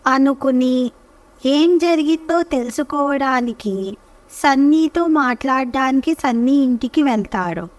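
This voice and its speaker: A woman narrating a scripted children's story as storyteller, with measured, careful phrasing. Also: sits high, no echo, far from the mic.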